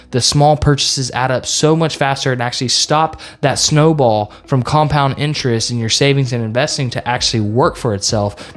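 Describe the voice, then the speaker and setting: A young man in his twenties giving earnest, repetitive money advice straight to his viewers in a casual vlog. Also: mid-range voice. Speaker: finance vlogger.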